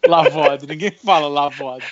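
A man with a Rio de Janeiro accent chats animatedly on a podcast, his voice sitting a bit high. The recording is dry and a little muffled. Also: slow, dry recording. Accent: Carioca Portuguese. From Rio de Janeiro, Brazil. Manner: lively, animated storytelling with laughs. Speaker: podcast co-host. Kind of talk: casual chat. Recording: dry, slightly dull audio.

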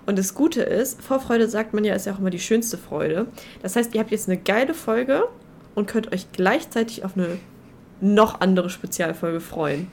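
A woman's voice: mid-range voice, normal speed, a little mellow, a little hiss or hum. A young woman co-hosting a podcast in casual chat, with a joking, ironic manner. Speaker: podcast co-host.

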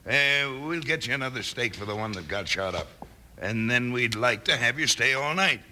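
Bright, insistent mine boss. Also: male voice, mid-range voice, expressive, normal speed. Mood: hearty, reassuring. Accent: American. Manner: smoothly insistent, repeats himself. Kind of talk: 1950s radio western acting. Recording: noisy old radio recording.

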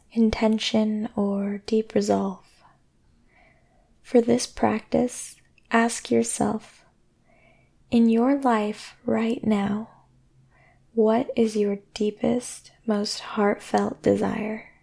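A woman guiding a sleep meditation in a soothing, gentle way, speaking deliberately with long pauses. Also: on the high side, level tone, vocal fry. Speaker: meditation guide and podcast host. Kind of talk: guided sleep meditation, yoga nidra.

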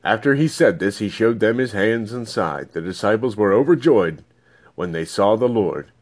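A man's voice: lowish voice, animated, moderate pace. A man reading scripture aloud as scripted narration. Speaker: Bible reader.

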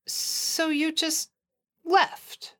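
A woman's voice: extremely high pitch, level tone, extremely slow, sharp tone, exaggerated voice. A woman playing a young female college student, hesitant but determined, in an audio drama. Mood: puzzled. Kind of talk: audio fiction acting.

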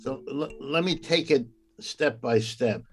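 An older American economics professor telling his life story in an unhurried way, with frequent pauses and fillers. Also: medium pitch, faint music behind. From United States. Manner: unhurried, many "uh" and "um" fillers, occasional laughs. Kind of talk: reflective personal storytelling.

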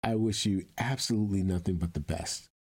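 Deep husky guy doing podcast interview host stuff, really effusive and encouraging. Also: a little fast, a little mellow. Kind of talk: conversational interview hosting.

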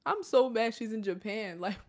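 A woman co-hosting a podcast, chatting casually in an animated, jokey way and laughing often. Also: fairly high pitch, normal speed. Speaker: podcast co-host. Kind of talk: casual chat.

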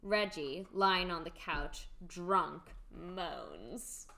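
A woman's voice: lowish voice, lively intonation, extremely slow, gravelly, pushed and bright. A woman reading scripted stage directions as the narrator of a radio play.

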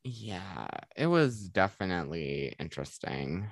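A man's voice: sits low, sing-song, unhurried, no echo, clean studio sound. Chatty teenage boy podcast host. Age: teens. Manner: chatty, rambling, lots of "like". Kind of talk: casual chat.

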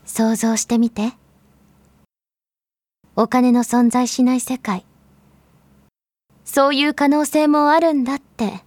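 A woman plays an enigmatic, thoughtful female figure delivering a drama monologue. Her voice is soft and mellow yet fairly high. She speaks straight to the listener with measured earnestness, in a dry, close recording. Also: steady tone, no room sound. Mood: earnest, hopeful. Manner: measured, earnest, intimate direct address. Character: mysterious, pensive female narrator appealing to the listener. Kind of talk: Japanese audio drama monologue.

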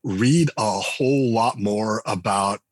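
A middle-aged man, a game writer and designer, talking casually in an interview and telling relaxed stories with frequent restarts. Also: fairly low pitch, slow, no room sound. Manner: relaxed storyteller, many restarts and fillers.

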